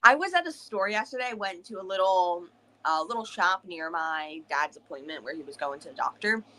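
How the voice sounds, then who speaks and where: Woman co-host, bright voice, Northern US accent with a bit of Southern, agreeable. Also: lowish voice, expressive, brisk, slight background noise, rough recording. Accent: US Northern with a slight Southern touch. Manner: agreeable, frequent "yeah", rambling. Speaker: book club co-host. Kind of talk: casual livestream chat.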